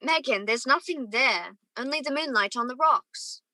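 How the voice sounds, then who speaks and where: A wistful young gentleman played by a woman tells his love story in a radio play. The voice is bright and forward, recorded close and dry. He sounds earnest and torn. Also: sits high, animated, moderate pace, no room sound, rough recording. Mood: tender, soothing. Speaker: student or guest actor in a radio play. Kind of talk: radio play acting with first-person narration.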